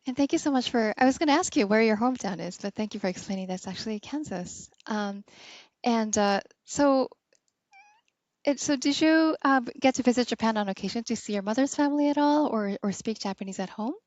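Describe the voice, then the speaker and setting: Higher-voiced woman podcast host. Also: fairly quick, a little mellow, no room sound. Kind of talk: interview conversation. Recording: dry, dull lo-fi audio.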